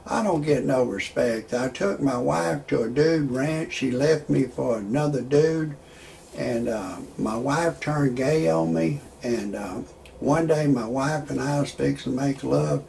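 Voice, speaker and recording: A man in his sixties talking in a rambling monologue with stand-up style jokes, halting often on fillers and restarts. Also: mid-range voice, vocal fry, darkish tone, slight background noise. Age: 60s. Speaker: amateur online show host.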